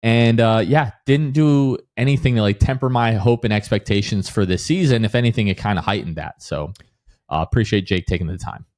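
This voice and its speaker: American guy hosting a sports podcast, kinda low voice, just chatting casually. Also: brisk, a little mellow.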